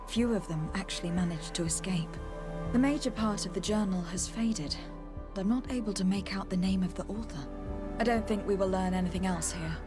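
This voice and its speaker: A woman voices an earnest, inquisitive envoy in a fantasy game. She is composed and formal, with a mid-range voice. Music runs clearly behind her. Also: medium pitch, close to an everyday voice. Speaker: voice actor in a fantasy video game. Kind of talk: game dialogue.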